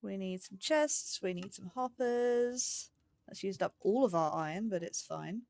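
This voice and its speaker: A woman's voice: mid-range voice, animated, measured pace, vocal fry, a little mellow, dry recording. Chatty woman narrating her gameplay. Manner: chatty, thinking aloud with many ums. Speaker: let's play gamer. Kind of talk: casual gameplay commentary.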